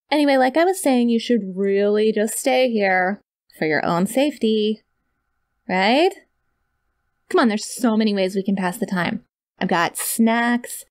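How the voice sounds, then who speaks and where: A woman's voice: on the high side, no echo, clean studio sound, close to an everyday voice. A woman playing a sweet, awkward, clingy young yandere kidnapper in an ASMR audio roleplay, rambling and gushing straight to the listener. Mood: nervous, coaxing.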